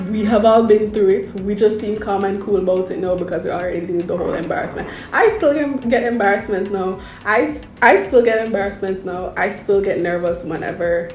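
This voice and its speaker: A woman's voice: medium pitch, darkish tone, roomy sound. A Jamaican woman, a medical student, giving earnest, reassuring advice to first-year students and repeating points for emphasis.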